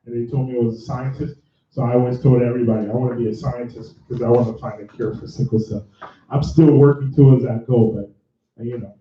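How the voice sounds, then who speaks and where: A man's voice: mid-range voice, fairly even intonation, fast, slight background noise. A man from Nigeria hosting a live career workshop, chatty and self-correcting as he tells his story to the room. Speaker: career bootcamp host and mentor. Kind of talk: live workshop talk with audience. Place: large room with live audience. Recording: echoey room, far from the mic, dull sound.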